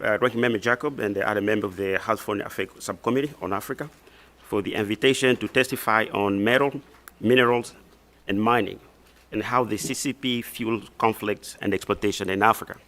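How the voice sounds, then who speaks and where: A man from Congo in his thirties, reading formal prepared testimony as a witness at a hearing, measured with occasional restarts. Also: fairly low pitch, fairly even intonation, a bit bright. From Democratic Republic of the Congo. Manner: measured, reads prepared text with some restarts.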